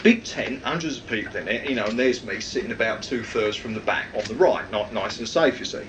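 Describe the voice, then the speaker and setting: A man with a loud, projecting voice, preaching a rambling, anecdotal sermon with many fillers. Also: on the low side, brisk, background noise.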